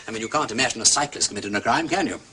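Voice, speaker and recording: An actor playing an affable, tactful English aristocrat sleuth in a period detective drama, urbane and drily whimsical with an upper-class English accent. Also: male voice, medium pitch, racing, pushed and bright, a little hiss or hum, natural voice. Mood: whimsical, breezy. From England.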